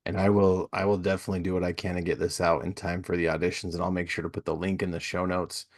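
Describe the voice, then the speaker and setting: Male podcast host, low register, very dry recording. Informal, agreeable, conversational interviewing. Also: flat delivery, fast, dry recording. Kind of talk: casual interview chat.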